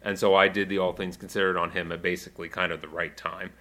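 A man's voice: low voice, fast talker, crowd noise. A man hosting a community radio show, chatting casually with many fillers and asides. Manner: relaxed, rambling with fillers. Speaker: radio show host and music coordinator.

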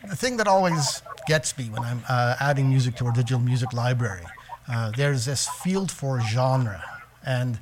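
Male radio host with a mid-range voice. He asks measured, hesitant questions over a faint background hum. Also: medium pitch. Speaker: radio show host interviewer. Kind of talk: radio interview.

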